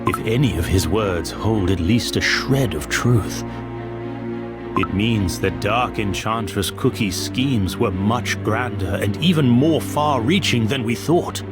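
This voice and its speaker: An actor playing a stern, noble guardian cookie king in a game, speaking in a grave, formal, measured way. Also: on the low side. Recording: loud music behind the voice.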